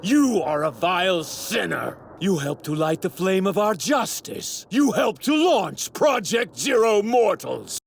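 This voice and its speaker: A smug self-righteous anime god villain, super pompous n dramatic, pitch all over the place, noisy sfx behind. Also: male voice, sing-song, measured pace, vocal fry, few pauses, full character voice. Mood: impassioned, condemning. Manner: pompous, grandiose, formal diction. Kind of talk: theatrical anime dub acting. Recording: noisy clip with overlapping sound effects. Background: anime sound effects.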